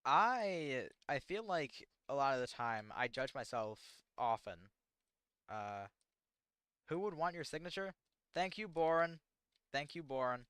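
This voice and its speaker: A man's voice: on the high side, very animated, measured pace, fairly bright tone, smooth, dry recording, studio quality. A teenage American man chatting casually on a livestream, rambling and self-deprecating with lots of fillers. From United States. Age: teens. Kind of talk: casual livestream chat answering chat questions.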